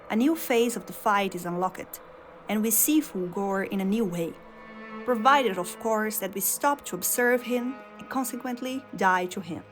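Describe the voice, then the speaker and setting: A woman whose first language is Portuguese tells game lore at a steady, deliberate storytelling pace, laying out each idea in short phrases. Her voice sits at an ordinary middle pitch for a woman. Soft music plays faintly underneath. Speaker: video game lore narrator. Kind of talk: scripted lore narration.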